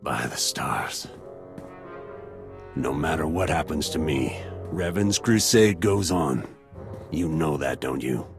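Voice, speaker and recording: An actor playing a zealous military captain and conspirator in a sci-fi video game cutscene. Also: male voice, a bass voice, animated, slow pace, pauses often, music in the background, heavily acted. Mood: shaken, pleading. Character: male military captain, zealous conspirator, rattled under threat.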